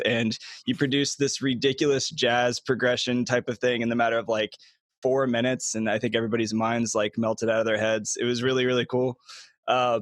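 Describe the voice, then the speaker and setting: A man hosting a podcast, chatting in a relaxed way and saying "like" and "yeah" often. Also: medium pitch, dry recording. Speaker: podcast host. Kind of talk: casual interview chat.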